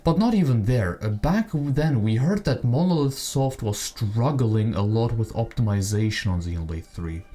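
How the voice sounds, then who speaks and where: A man's voice: mid-range voice, expressive, moderate pace, few pauses, warm tone. A man hosting a video essay about games, giving opinionated, speculative commentary in a conversational way. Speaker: video creator, channel host. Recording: music behind the voice. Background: background music.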